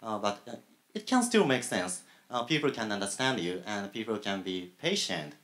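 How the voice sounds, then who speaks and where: A young man with a Japanese accent on a graduate student panel, speaking haltingly with many fillers and pauses. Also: on the low side, sing-song, fairly bright tone. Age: young adult. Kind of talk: panel talk. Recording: echoey room.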